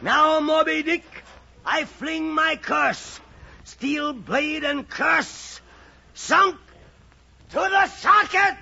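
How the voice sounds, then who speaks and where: A slow-paced, gravelly old whaling captain in a vintage radio play. He is defiant and obsessed, declaiming grandly and commandingly. The recording is dull and noisy. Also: very high-pitched, sharp tone, full character voice. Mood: furious. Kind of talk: old-time radio drama acting.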